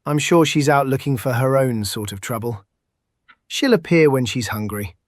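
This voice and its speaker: A man's voice: mid-range voice, normal speed, dry recording, clean studio sound, lightly acted. An actor playing an easygoing, flirtatious male field doctor in an audio drama, wry and laid-back. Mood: wry, relaxed.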